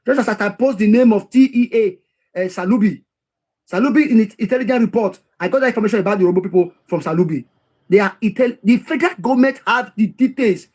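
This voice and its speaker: A man with a notably high voice works through a history text. He reads a passage, stops to explain it in plain terms, and says key lines twice to drive them home. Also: fast, darkish tone. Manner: reads quoted passages then explains them, repeats key phrases for emphasis. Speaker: history commentator.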